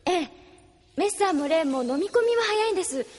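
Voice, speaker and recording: An earnest young crewwoman in an anime radio drama. Her high voice moves up and down a lot and rings with long reverb. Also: lively intonation, fairly quick, darkish tone. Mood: chatty. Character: earnest, eager young crewwoman speaking politely. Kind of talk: Japanese anime audio drama acting. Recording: long reverb, faint music behind.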